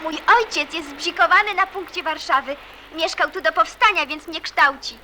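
A woman's voice: very high-pitched, moderate pace, pushed and bright, slight background noise, full character voice. A woman playing a guarded, stubborn but hopeful teenage schoolgirl in a radio drama, speaking in a curt, matter-of-fact way. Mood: earnest.

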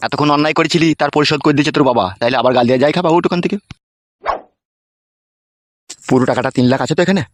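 A short-tempered village boss played by a man in a comedy cartoon. He talks very fast in a dry, close sound, slightly high for a man, giving brusque, coarse orders. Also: no room sound, natural voice. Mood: irritated. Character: bossy, short-tempered village men haggling and scolding.

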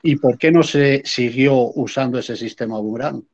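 A man's voice: medium pitch, moderate pace, a little mellow. A man on a video call politely asking a technical question in a live debate. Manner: polite, inquisitive. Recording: dull video call audio.

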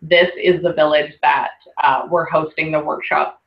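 A woman's voice: low-pitched, flat delivery, normal speed, gravelly, muffled recording. A woman hosting an online workshop, chatting casually through slides with lots of laughs. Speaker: workshop host presenting slides. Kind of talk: casual webinar presentation.

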